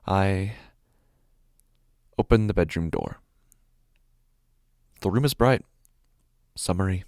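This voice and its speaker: An actor playing an anxious, unraveling office worker, narrating a first-person horror audio drama in halting, intense short phrases. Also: male voice, sits low, no room sound, studio quality.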